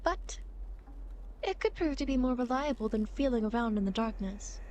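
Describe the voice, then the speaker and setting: High-pitched teasing demon girl, acted by a woman. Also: expressive, normal speed, a little mellow, slight background noise, lightly acted. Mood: smug, explanatory. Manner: theatrical, teasing, mock-grand phrasing. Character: playful teasing demon girl, smug guide of the afterlife. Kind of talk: ASMR roleplay, acted monologue to the listener.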